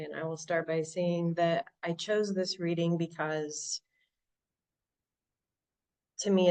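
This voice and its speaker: A low-pitched American woman shares her recovery story at an online meeting, thoughtful and halting with frequent restarts. She sounds set back from the microphone, a little distant in the recording. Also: a little mellow. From Southern California. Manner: reflective, halting, many restarts and fillers. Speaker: speaker sharing at a recovery meeting.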